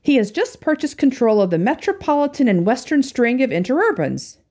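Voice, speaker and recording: A woman's voice: sits high, very animated, a little fast, darkish tone, voice pushed up. A genial middle-aged businessman and father, read by a woman, hearty and a touch formal. He speaks in a pleased, confident way.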